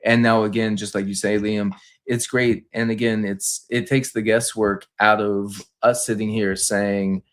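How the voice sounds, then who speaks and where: Low-voiced man, halting stock commentator. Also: level tone. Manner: halting, repetitive, explanatory. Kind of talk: unscripted financial debrief.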